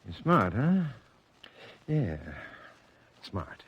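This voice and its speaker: A man's voice: mid-range voice, sing-song, drawn-out words, slightly rough, muffled recording, full character voice. A man playing a shrewd, menacing crime boss in a 1940s radio drama, curt and hard-boiled. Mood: grudging, wary. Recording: 1940s radio broadcast recording.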